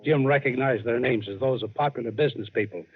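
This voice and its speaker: Male host played by an actor for vintage radio adventure, medium pitch, storytelling narration on a thin, narrow recording. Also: fast talker, slight background noise, close to an everyday voice. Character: adventurer host spinning a yarn. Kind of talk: scripted old-time radio narration. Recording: tinny old radio recording. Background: dramatic underscore music.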